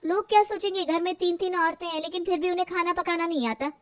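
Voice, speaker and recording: A dutiful young daughter-in-law voiced by a woman in a family audio story. Her voice is high and dry, with an earnest, polite, coaxing manner. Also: fairly even intonation, fast talker, dry recording. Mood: earnest, worried.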